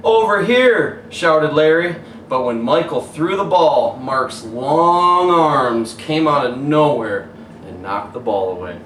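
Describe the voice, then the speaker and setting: A man reads a children's picture book aloud in the animated manner of a teacher. He sounds away from the microphone in an echoey room, with faint noise around him. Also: medium pitch, takes it slowly. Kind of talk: animated children's story read-aloud, pausing to show pictures. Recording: roomy echo, distant mic, faint noise.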